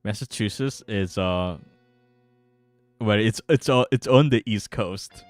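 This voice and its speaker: A man's voice: lowish voice, slow pace, no room sound. A man co-hosting a livestream in loose, casual chat. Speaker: livestream co-host. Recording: dry voice with music behind it.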